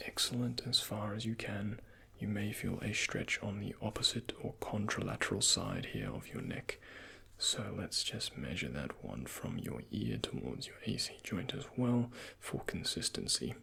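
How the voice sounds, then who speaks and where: Soft, gravelly half-whispering ASMR man. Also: on the low side, expressive. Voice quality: breathy, often near-whispered. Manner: gentle, unhurried, courteous clinical instructions. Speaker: ASMR performer playing a doctor. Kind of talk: soft-spoken ASMR medical roleplay, addressing the listener as a patient. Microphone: close microphone.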